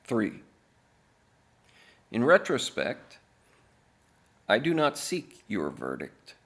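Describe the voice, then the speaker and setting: A man reading aloud as an author, in a deadpan, measured manner with deliberate pauses. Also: medium pitch, creaky. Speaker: author reading own book.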